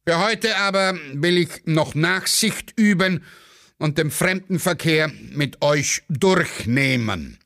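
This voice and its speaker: A man acts out a pompous Viennese schoolteacher in a satirical radio play. The voice is raised high and moves slowly, swinging widely as he scolds and lectures. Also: lively intonation, vocal fry, fairly bright tone, full character voice. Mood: lecturing.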